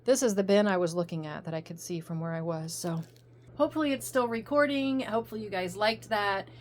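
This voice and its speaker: A middle-aged woman from Virginia chatting casually to viewers in a rambling, self-correcting way while her voice goes hoarse. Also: mid-range voice, brisk. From coastal Virginia, US. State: voice going hoarse. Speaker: reseller vlogger. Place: home room with piles of stuff. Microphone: phone on a ring light.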